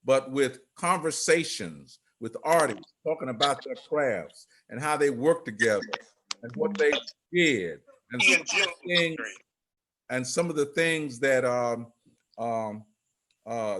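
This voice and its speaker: An older man giving informal remarks on a group video call in a halting, rambling way. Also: medium pitch, expressive, sharp tone, slight background noise, low-quality recording. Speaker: theatre company leader.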